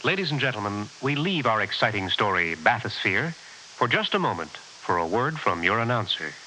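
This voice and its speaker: A man announcing formally and in a measured way in the style of 1960s radio. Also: lowish voice, very animated, pushed and bright, rough recording. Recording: faintly hissy old radio recording.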